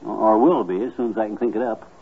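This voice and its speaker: Husky, folksy husband in old radio comedy. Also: male voice, lowish voice, steady tone, fast talker. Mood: folksy storytelling. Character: middle-aged husband, folksy, blustery, fibbing tale-teller. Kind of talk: 1950s radio comedy acting. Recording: muffled, narrow-band 1950s radio recording.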